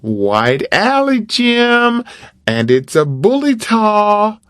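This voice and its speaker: A mischievous storybook boy bargains and wheedles in a voice pitched well up, as a man reads him aloud. He sounds eager and persuasive. The sound is dry and close. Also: wildly swooping pitch, extremely slow, fairly bright tone, no echo, full character voice. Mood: coaxing. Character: mischievous coaxing young boy.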